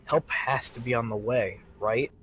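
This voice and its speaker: A man's voice: mid-range voice, a little slow, a bit bright, slightly rough. A man playing a weary, guarded starship chief engineer surviving a disaster in a sci-fi game roleplay, plain-spoken and grumbling. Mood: hushed, worried. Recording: noticeable background noise and ambience.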